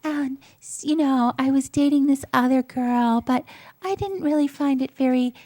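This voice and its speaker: Woman, fairly high voice, casual podcast panel talk, emphatic, drawn-out stresses, dry close sound. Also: dark tone, no echo. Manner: blunt, emphatic, drawing out words for stress. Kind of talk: casual opinionated review chat.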